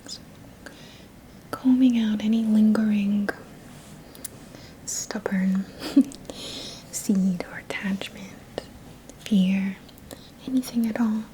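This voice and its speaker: A woman whispering breathily in a soothing ASMR style as a Reiki teacher guiding an energy-healing session, often repeating soft phrases. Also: medium pitch, steady tone, a little hiss or hum, rough recording. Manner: slow, soothing, repeats words and phrases in a trailing murmur.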